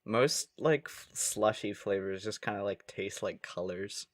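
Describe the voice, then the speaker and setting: A man's voice: lowish voice, unhurried, no room sound, studio quality. A man co-hosting a podcast in casual comedic banter, rambling along playfully. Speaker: podcast co-host.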